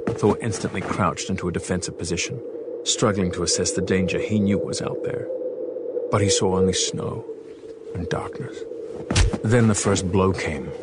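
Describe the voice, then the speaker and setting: A man reading dramatic, scripted story narration for an audio drama. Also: low-pitched.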